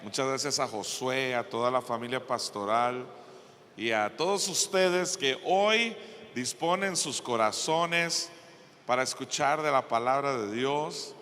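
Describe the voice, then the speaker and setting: A man with a Costa Rican Spanish accent preaching to a conference in an emphatic way, with deliberate pauses. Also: medium pitch, sharp tone, a little hiss or hum. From Costa Rica. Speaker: guest preacher at a church conference. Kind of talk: sermon with scripture reading and prayer. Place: large indoor church conference hall. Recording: long hall reverb from a PA system.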